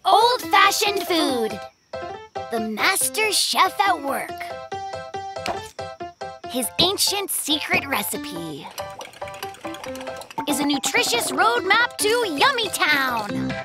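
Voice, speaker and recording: An actor voicing a gentle, earnest young polar bear cub who speaks instructively, as a proud little cook in a children's cartoon. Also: very high-pitched, big pitch swings, takes it slowly, pauses often, music in the background, full character voice. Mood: cheerful, proud. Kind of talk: animated children's cartoon acting.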